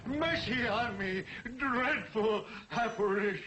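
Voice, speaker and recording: An actor playing a frightened, crotchety old miser, querulous and halting, in theatrical 1940s television drama style. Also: male voice, high voice. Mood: fearful, pleading. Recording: noisy old 1940s TV soundtrack, clicks.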